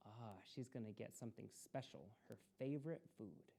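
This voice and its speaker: A woman reading a children's story aloud expressively, in a deliberate manner suited to young listeners. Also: very deep voice, vocal fry, dark tone, slightly rough. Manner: deliberate, with explanatory asides to children. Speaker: storytime reader.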